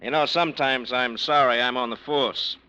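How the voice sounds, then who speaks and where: A sarcastic police lieutenant played by a man needles his target in a bright, pointed voice. The old radio sound is dull. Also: medium pitch, steady tone, moderate pace, clear, resonant tone, lightly acted. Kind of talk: 1940s radio drama acting.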